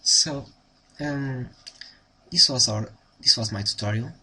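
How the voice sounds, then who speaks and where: Portuguese-accented man with a bright voice. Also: medium pitch, slow pace, poor audio. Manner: hesitant, many ums and restarts. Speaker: tutorial host. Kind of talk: casual spoken tutorial.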